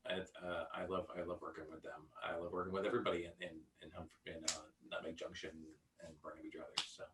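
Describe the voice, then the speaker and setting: A man, a voice actor, chatting casually in a halting, rambling way. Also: sits low, little pitch movement, a bit bright, far from the mic, slight background noise. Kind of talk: casual chat.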